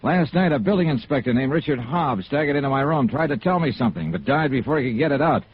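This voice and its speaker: An American actor playing a tough, blunt insurance investigator in a radio noir drama, clipped and direct. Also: male voice, medium pitch, quick pace, slight background noise, close to an everyday voice. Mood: grave. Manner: clipped, hard-boiled, direct. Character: tough, blunt male freelance insurance investigator, narrating his case. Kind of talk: 1950s radio noir acting, narration and dialogue. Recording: muffled old radio broadcast.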